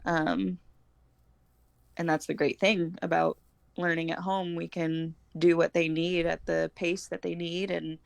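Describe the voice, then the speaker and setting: A woman's voice: lowish voice, level tone, unhurried, creaky, no echo. A woman, chatting casually as a guest on a homeschooling podcast. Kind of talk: casual chat.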